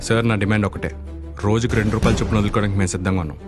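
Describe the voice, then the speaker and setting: A man acts out a defiant hostage-taking everyman in a film drama. His voice is very deep and quick, with sardonic, righteous questioning. A loud score plays over him. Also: steady tone, loud music behind the voice, close to an everyday voice. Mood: indignant, challenging. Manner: measured, sarcastic, rhetorical questioning. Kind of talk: Telugu film dialogue, argumentative monologue.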